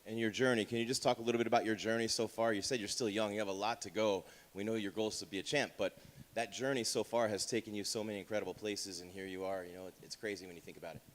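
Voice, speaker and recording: A man's voice: lowish voice, steady tone, fast. A man working as a reporter, putting questions to an athlete at a press conference. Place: indoor media day room. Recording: echoey room with long reverb.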